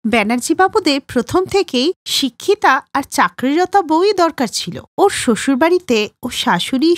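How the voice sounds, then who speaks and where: A woman's voice: high voice, dry recording, clean studio sound. A woman narrating a scripted cartoon story.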